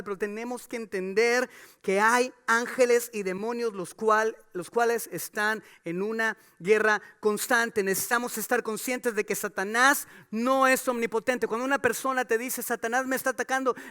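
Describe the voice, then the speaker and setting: A man's voice: very high-pitched, sharp tone, smooth. A man preaching a sermon, asking the congregation questions and building to emphatic calls. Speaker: pastor.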